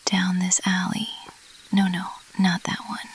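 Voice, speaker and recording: A woman voicing a mischievous, playfully elusive bat monster girl in a teasing, intimate, breathy whisper for an ASMR roleplay. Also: on the low side, monotone, slight background noise. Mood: playful, coy. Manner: slow, teasing, intimate, lingering on pauses. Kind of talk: ASMR roleplay, addressed to the listener.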